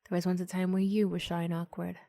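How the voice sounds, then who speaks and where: A woman softly narrating an ASMR roleplay story in the second person, often close to a whisper. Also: on the low side, flat delivery, fast, gravelly, no room sound. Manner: soft, often near-whispered.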